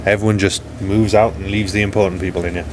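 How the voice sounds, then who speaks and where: Welsh man, ship control-room commentator, relaxed and joking, light hum behind. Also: deep voice, fast. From Wales. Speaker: scientist narrating a live dive broadcast. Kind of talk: live telepresence commentary.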